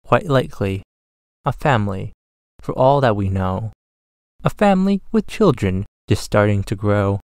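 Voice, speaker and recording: A man voicing a gentle, earnest, protective big elephant in a rhyming storybook. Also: lowish voice, animated, unhurried, no echo, clean studio sound. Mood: earnest pleading. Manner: sincere, pleading, protective. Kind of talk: rhyming storybook character reading.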